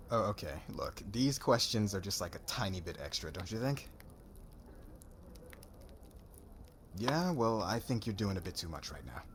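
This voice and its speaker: A man's voice: on the low side, slight background noise. A man playing a cocky young warrior suitor in an ASMR roleplay, with a slangy, brash, guarded way of talking. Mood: irritated, cocky.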